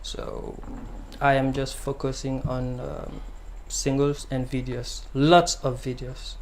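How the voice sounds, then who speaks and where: Soft-spoken young Gambian man, flat voice. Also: mid-range voice, slow pace, a little hiss or hum. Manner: soft-spoken, hesitant with many ums. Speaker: guest singer-songwriter. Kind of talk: casual interview answers.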